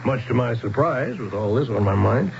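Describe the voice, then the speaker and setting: Casting: bemused visitor in a radio mystery, lively pitch swings, muffled noisy broadcast. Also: male voice, moderate pace, gravelly. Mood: curious, doubtful. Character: bemused male out-of-towner recounting a strange mystery. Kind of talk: radio drama acting with first-person narration.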